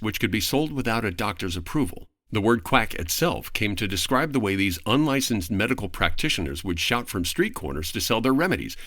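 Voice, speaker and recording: Lowish-voiced man explaining history articulately. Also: a bit bright, dry recording, studio quality. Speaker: podcast guest, voice actor. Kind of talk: prepared explanatory narration.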